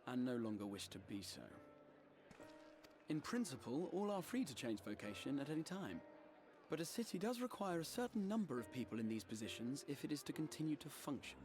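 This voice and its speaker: A man's voice: medium pitch, darkish tone, lightly acted. A man voicing a courteous, orderly fantasy official in dry, formal video game dialogue.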